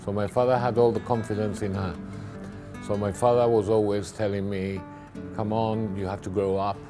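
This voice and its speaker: A middle-aged man recalling his family business in a documentary interview, halting and repeating words as he goes. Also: fairly low pitch, level tone, over music.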